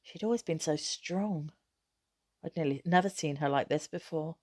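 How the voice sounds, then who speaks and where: A woman reading a children's novel aloud as an expressive narrator. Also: deep voice, moderate pace, dry recording, studio quality. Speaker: storybook reader.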